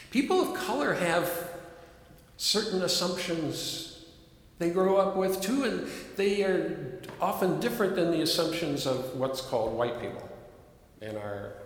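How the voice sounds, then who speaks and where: Older midwest guy preaching slow and thoughtful, lots of long echo in the room. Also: a little high. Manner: reflective, measured, earnest. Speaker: minister. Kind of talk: sermon. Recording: echoey hall, long reverb.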